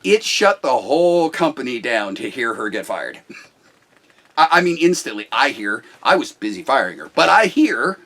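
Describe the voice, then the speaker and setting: A middle-aged man with a loud voice, a business owner talking bluntly and forcefully about management in casual talk. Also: mid-range voice, sing-song, moderate pace.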